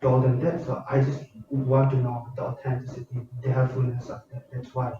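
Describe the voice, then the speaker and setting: A man's voice: medium pitch, monotone, moderate pace, a crowd in the background. Distant man in a very echoey room. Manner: hesitant, with fillers. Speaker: teacher in the audience. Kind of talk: asking a follow-up in a seminar. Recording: distant, very echoey, dull audio.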